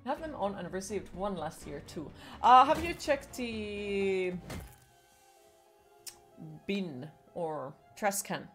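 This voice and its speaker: Young woman, Finnish accent, game streamer, playful chat, lively pitch, faint game music. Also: slow pace. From Finland. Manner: chatty, playful, swears casually. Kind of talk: casual chat with chat while gaming.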